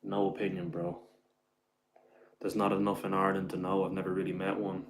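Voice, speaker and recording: A man's voice: sits low, monotone, brisk, a little hiss or hum. A man hosting a casual livestream who answers his chat in a rambling way, calls viewers "bro", and keeps his voice down indoors at home. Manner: keeps his voice down so he won't disturb the landlord. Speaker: livestream host reading chat comments.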